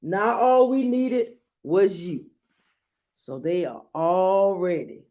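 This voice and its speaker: A woman reading a children's picture book aloud expressively and gently, adding small asides as she goes. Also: lowish voice. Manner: gentle, unhurried, adding commentary to listeners.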